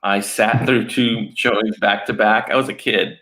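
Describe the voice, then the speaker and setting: A man's voice: on the low side, steady tone, moderate pace, a bit bright. A man chatting as a guest in a casual interview, joking and telling stories with frequent laughter. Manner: joking, storytelling, laughs often. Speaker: guest animator.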